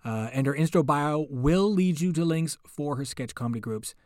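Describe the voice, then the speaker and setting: A man's voice: on the high side, animated, moderate pace, darkish tone, dry recording, studio quality. A man hosting a podcast, casually introducing a guest reader with chatty, rambling asides. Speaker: podcast host.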